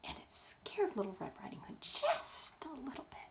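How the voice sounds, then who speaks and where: A woman narrating a bedtime story in a dramatic, expressive, unhurried way. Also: high voice, in a whisper.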